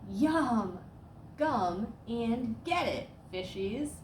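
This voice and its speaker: A woman's voice: a little high, animated, drawn-out words, a little mellow. A woman voicing a cheery, exuberant male optimist from a children's storybook in a sing-song way. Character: cheery, bouncy male optimist out ice fishing. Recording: roomy echo, clear background noise.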